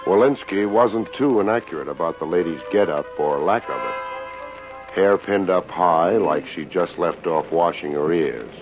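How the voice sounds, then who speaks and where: A low-pitched, deadpan American private eye, wry and slangy. It sounds like a vintage radio crime drama, muffled and dull, with music behind. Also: male voice, a little slow. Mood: wry narration. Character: wry, hard-boiled male private investigator. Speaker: radio detective lead and narrator. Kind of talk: 1950s radio detective drama acting.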